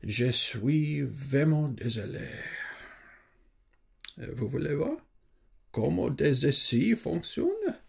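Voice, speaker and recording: A humbug magician acted by a man in an ASMR fantasy film. He confides apologetically in a husky, medium-pitched voice, taking his time over every phrase. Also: sing-song, slow, pauses often, heavily acted. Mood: apologetic, confiding. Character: unmasked humbug wizard, meek, apologetic, proud inventor. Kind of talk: French ASMR roleplay film.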